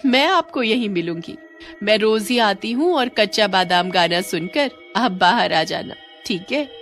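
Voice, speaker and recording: A woman's voice: mid-range voice, lively intonation, measured pace, a bit bright. Almond seller, cartoon, woman narrator performing: female hawker, coaxing, lilting, music behind. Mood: friendly, coaxing. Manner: lilting, coaxing sales patter. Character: sweet-talking woman street almond seller. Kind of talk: Hindi animated story. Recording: music under the voice.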